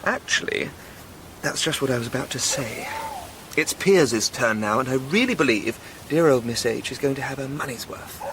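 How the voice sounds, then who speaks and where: An actor playing a chummy, eager English amateur archaeologist in a radio drama, with an old-fashioned, enthusiastic way of talking. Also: male voice, medium pitch, lively intonation, moderate pace, a bit bright, slight background noise, poor audio. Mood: wistful, cheerful. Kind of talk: naturalistic radio drama acting.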